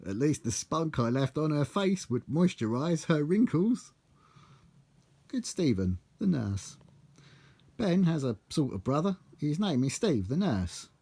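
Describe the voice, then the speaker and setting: A British man reading his own comic rhyming verse in a bawdy storytelling manner with a measured cadence. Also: on the high side, sing-song, mellow, slightly rough. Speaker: author reading own book.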